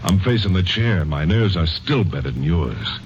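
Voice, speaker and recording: An actor playing a sneering, threatening murderer with tough gangster slang and mock sweetness, in a 1950s radio crime drama. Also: male voice, deep voice, animated, moderate pace. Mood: sneering menace. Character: menacing, sneering young-to-middle-aged male murderer in a jail cell. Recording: muffled, hissy vintage radio recording.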